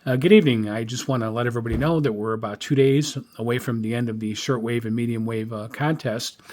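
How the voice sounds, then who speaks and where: A man talking informally as a radio hobbyist host, halting often as he reviews his notes. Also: medium pitch, a little mellow. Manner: halting, frequent hesitations. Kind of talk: informal spoken log review.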